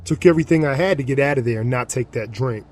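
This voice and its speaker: Guy acting a worn out ex gang dad, recovering drinker, rough plain talk, audio drama, music behind him. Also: mid-range voice, quick pace, a little mellow, natural voice. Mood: weary, confessional. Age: middle-aged. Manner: plain-spoken, rough streetwise working-class speech. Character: weary, remorseful ex-gang-leader father, recovering alcoholic.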